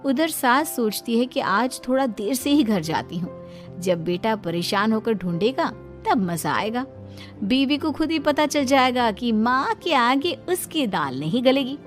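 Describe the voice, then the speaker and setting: A woman's voice: a little high, big pitch swings, measured pace. A woman narrating a scripted moral story as its storyteller. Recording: music behind the voice.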